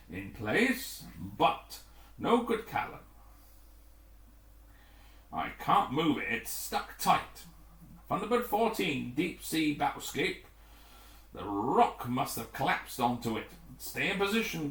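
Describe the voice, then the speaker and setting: A man's voice: on the high side, fairly bright tone, recorded from a distance, full character voice. A man voicing a decisive male rescue pilot in an adventure story, giving urgent, clipped instructions. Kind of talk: acted adventure story dialogue. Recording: echoey, roomy home recording.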